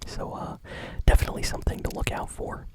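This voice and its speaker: A man hosting a gaming news roundup in a soft, unvoiced ASMR whisper, chatting casually with lots of fillers. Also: fast talker. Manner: casual, filler-heavy, relaxed chatty delivery.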